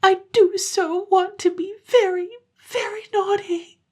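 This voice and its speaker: A woman voicing two tearful little sisters who plead in high, sobbing voices. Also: fairly even intonation, a little slow.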